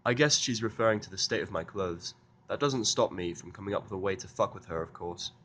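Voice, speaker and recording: A man's voice: low-pitched, a little fast, a bit bright. A man narrating a first-person fan fiction audio drama in a sardonic manner.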